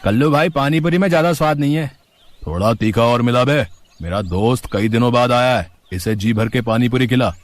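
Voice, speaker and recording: A man's voice: mid-range voice, a little fast, lightly acted. Rude, menacing street thug man acting. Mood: demanding. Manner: rude, demanding.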